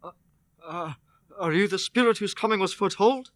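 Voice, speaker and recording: Guy doing old nervous miser in a radio play, high pitched, stammery, far from mic in a big echoey room. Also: moderate pace, natural voice. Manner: stammering, exclamatory. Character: elderly miser Scrooge, anxious, wistful, awed. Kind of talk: old-time radio drama acting. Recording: echoey hall, distant mic, music behind.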